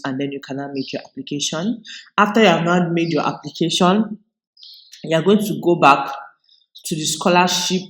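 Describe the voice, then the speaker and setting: A man from Nigeria with a Nigerian English accent, hosting a tutorial video and explaining each step in a relaxed way, with frequent restarts. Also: on the high side, low-quality recording. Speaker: video host. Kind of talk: screen-recorded tutorial walkthrough.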